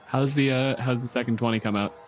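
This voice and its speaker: A man's voice: mid-range voice, steady tone, brisk. A man acting as game master, narrating and refereeing a tabletop game in conversational speech. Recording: music playing under the voice.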